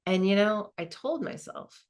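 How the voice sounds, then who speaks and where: A woman's voice: medium pitch, expressive, a little slow, close to an everyday voice. An actress playing a modest woman law school dean, giving a reflective, conversational monologue with small hesitations. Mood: candid, reminiscing. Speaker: performer of a dramatized narrative.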